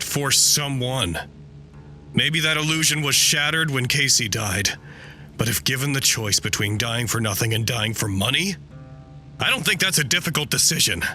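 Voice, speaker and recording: A betrayed, bitter researcher speaks his private log with sharp, forceful intensity. The delivery is articulate, formal and dramatic, as in a fiction podcast, with a middling pitch. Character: bitter, betrayed male researcher venting to himself. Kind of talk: audio drama monologue, recorded personal log.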